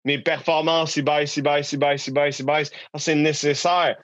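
A man's voice: on the high side, flat delivery, brisk, fairly bright tone, no echo, dull audio. A man in his thirties with a Québécois accent, co-hosting a business podcast in a candid, emphatic, slangy way. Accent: Québécois French. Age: 30s. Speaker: podcast co-host. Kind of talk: casual business chat.

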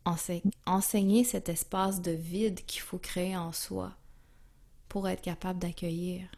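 A woman with a Quebec French accent speaking as an interview guest, calm and reflective, searching for her words. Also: fairly low pitch, a little slow, vocal fry. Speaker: interview guest, yoga and music teacher. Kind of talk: reflective, casual interview talk.

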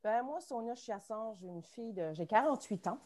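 A woman's voice: on the high side, animated, brisk, dark tone. A woman in her forties from the Acadian Peninsula with an Acadian French accent, chatting as a podcast guest in a rambling, self-mocking way. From Acadian Peninsula, New Brunswick. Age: 40s. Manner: chatty, rambling, laughs at herself. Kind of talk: casual interview chat.

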